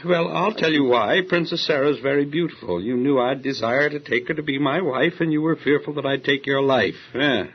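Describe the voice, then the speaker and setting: A man's voice: medium pitch, brisk, hardly any pauses, slightly rough, muffled recording. An actor playing an imperious, easily flustered Egyptian king in an audio Bible drama, theatrical and sputtering. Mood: hurt, reproachful.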